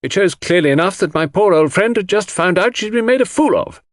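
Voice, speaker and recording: Man voicing a blunt older woman, pitched high. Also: animated, fairly quick, no room sound, studio quality. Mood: indignant, blunt. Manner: curt, emphatic, dismissive. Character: blunt, scornful, stubborn older woman, loyal friend. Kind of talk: audiobook character dialogue.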